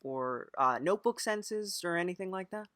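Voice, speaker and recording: A woman with a fairly low voice hosts an improvised comedy interview. She speaks haltingly, with a deadpan manner. The recording is very dry, without room sound. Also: no room sound. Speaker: podcast host.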